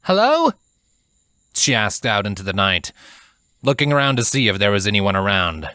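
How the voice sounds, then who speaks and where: Low-voiced man narrating a story. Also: brisk, sharp tone, no echo, clean studio sound. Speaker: fan fiction narrator.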